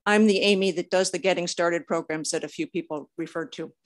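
A woman's voice: fairly low pitch, fairly even intonation, brisk. An older woman reading a prepared statement at a public meeting, a little nervous. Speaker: public commenter. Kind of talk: prepared speech read aloud at a public meeting.

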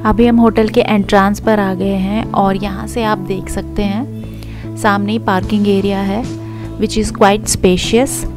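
A woman's voice: mid-range voice, little pitch movement, slow, over music. Chatty young woman touring a hotel. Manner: chatty, mixes Hindi with English phrases. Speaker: travel vlogger. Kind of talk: hotel tour voice-over.

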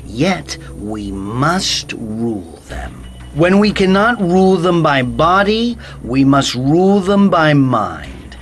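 A slow, ruthless tyrant. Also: male voice, on the high side, sing-song. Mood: grandiose menace. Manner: deliberate, grandiose, with dramatic pauses. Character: ruthless male tyrant ruling by fear, coldly menacing. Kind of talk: audio drama villain monologue.

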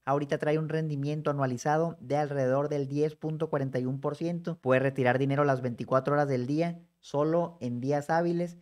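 A man, explaining savings options in a lively, conversational tutorial that speaks straight to the viewer. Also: on the high side. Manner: brisk, conversational, addresses viewer directly. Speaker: personal finance educator. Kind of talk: explanatory screen-share tutorial.

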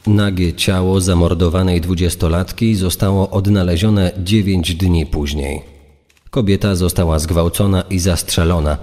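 A man with a deep voice reads scripted documentary narration. His words trail off in a long reverb. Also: a little mellow. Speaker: secondary narrator.

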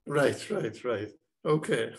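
Slow, hesitant man hosting a video call. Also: mid-range voice, lively intonation. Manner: halting, many fillers and restarts, encouraging. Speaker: host. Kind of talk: interview host. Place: home room.

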